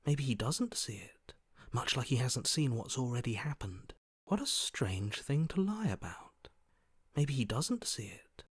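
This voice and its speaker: A man voicing a wary, skittish prey creature for a video game, hushed and breathy, often close to whispering, with a cautious, watchful delivery. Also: mid-range voice, sing-song, a little slow, dry recording. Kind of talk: video game character acting.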